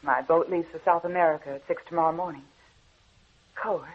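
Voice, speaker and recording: A proud, breathy young actress. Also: female voice, sits low, fairly even intonation, brisk. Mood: earnest. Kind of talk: 1940s radio acting. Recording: muffled old radio broadcast.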